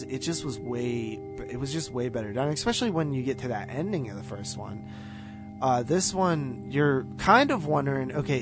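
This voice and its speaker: A man with a mid-pitched voice in a casual podcast conversation, reviewing films. He talks informally and rambles through his points. Guitar music plays clearly behind him. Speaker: podcast co-host. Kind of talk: casual movie review chat.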